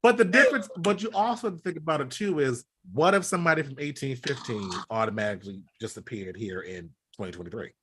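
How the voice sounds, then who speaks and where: A man's voice: medium pitch, animated, fairly bright tone, slight background noise. A man with a mid-range voice argues in a casual podcast chat. He halts and repeats his phrases as he pushes his point. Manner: halting, repeats himself while trying to make a point. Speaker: podcast co-host.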